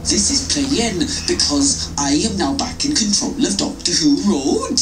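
A man putting on a lively, bad Welsh accent as a smug showrunner in a pre-recorded video message. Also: on the high side, measured pace, echoey room, soft background music. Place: small home office, indoors.

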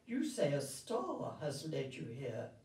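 A scheming, suspicious storybook king, as an older woman reads him, gives orders at a deliberate pace. The voice is mellow and commanding, picked up from a distance in a room. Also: low voice, wildly swooping pitch, full character voice. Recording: roomy, distant microphone.

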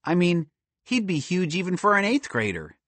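A man's voice: fairly low pitch, lively intonation, fairly quick, dry recording. Cautious schoolboy sidekick male narrator's portrayal, wary, nervous, dry close recording. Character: nervous, cautious fifth-grade boy, sensible sidekick.

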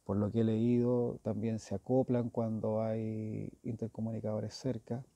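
A man's voice: fairly low pitch, level tone, unhurried, vocal fry, warm tone, dry recording, muffled recording. A man giving a casual hands-on review as a motorcycle gear reviewer, informal and thinking aloud.

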